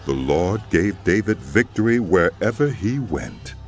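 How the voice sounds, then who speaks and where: Very deep-voiced male scripture narrator. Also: expressive, unhurried. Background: music.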